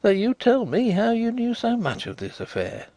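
A man voicing an excitable gentleman investigator who speaks hastily and with emphasis. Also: sits high, normal speed, no room sound, pitched above the performer's own voice, heavily acted. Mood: emphatic. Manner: hasty, emphatic.

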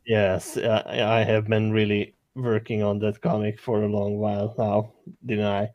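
A man's voice: fairly low pitch, flat delivery, measured pace, no echo, muffled recording. A man with a Hungarian accent chatting casually as a guest artist on a show. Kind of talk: casual chat.

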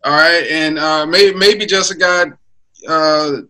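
Male producer, casual coaching, sharp bright tone, webcam call. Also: a little high, steady tone, takes it slowly. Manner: probing questions, brainstorming aloud. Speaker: music producer. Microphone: webcam on a video call.

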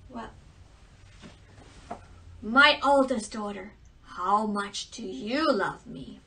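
A woman's voice: mid-range voice, very slow, pushed and bright, voice pushed down, heavily acted. A woman voicing an indignant old king who scolds his daughter, recorded from a distance. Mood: commanding. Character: grumpy old king, a father demanding love. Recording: distant mic.

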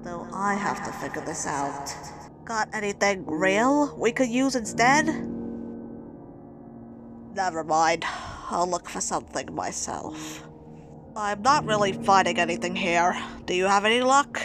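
A woman's voice: mid-range voice, expressive, a little slow, noisy background, close to an everyday voice. A woman voicing an irritable, nerdy male cartoon anteater in an amateur comic dub, grumbling and sarcastic, dramatic when alarmed. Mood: grumbling, resigned. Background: music.

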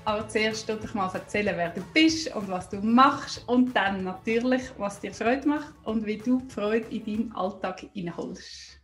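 A woman's voice: mid-range voice, normal speed, hardly any pauses, a bit bright. A woman in her thirties with a Zurich Swiss German accent, hosting a podcast with a bubbly, upbeat manner. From Säuliamt, Canton of Zurich, Switzerland. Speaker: podcast host. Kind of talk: scripted podcast intro and casual chat. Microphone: video call. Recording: music playing behind the voice. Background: music.

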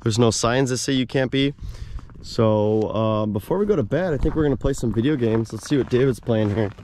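A man's voice: medium pitch, a little fast, darkish tone. A man hosting a casual camping vlog, chatting informally and using slang, recorded in and around a parked car. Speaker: vlog host. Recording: clear background car noise.

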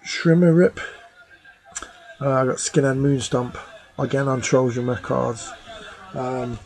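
A man in his thirties with a slightly hoarse voice, chatting casually on a webcam at home and rambling with plenty of ums and uhs. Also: mid-range voice, slow pace, darkish tone. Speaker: vinyl collector vlogger. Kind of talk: casual chat. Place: small room at home. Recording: music playing in the room. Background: music playing.

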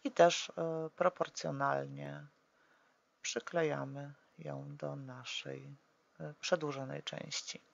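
A woman calmly walking through a papercraft tutorial step by step, often pausing with drawn-out fillers as she works. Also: low-pitched, creaky, lo-fi sound. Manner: hesitant, full of drawn-out "e" fillers while working; calm, practical instructing.

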